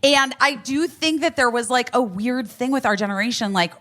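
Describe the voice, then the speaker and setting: A woman in her forties co-hosting a podcast, chatting casually, laughing often and cutting herself off mid-thought. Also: fairly high pitch. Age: 40s. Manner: animated, laughing, quick self-interrupting. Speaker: podcast co-host. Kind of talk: casual chat.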